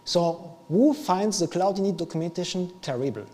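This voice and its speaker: A man whose first language is French gives a relaxed, improvised technical talk. His voice is mellow and sits a little high for a man. It was captured on a live stream, with long reverb from the hall. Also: normal speed. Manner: casual, self-deprecating, restarts often. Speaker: meetup speaker. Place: meetup venue, large hall.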